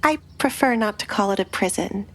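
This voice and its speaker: A woman plays a young noblewoman in an audio romance drama, pitched a little above the narration. She speaks softly and evenly, with dry, quiet defiance, over background music. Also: expressive, a little fast. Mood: wistful.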